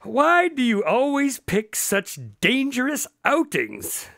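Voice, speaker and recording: Man playing a bright, animated, wry doctor storyteller. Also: extremely high pitch, takes it slowly, creaky, no room sound, clean studio sound, heavily acted. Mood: exasperated banter. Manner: wry, bantering, emphatic. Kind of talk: radio drama acting.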